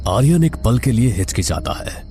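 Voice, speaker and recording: A man's voice: medium pitch, sing-song, moderate pace. A man narrating a scripted horror story in a dramatic, suspenseful manner.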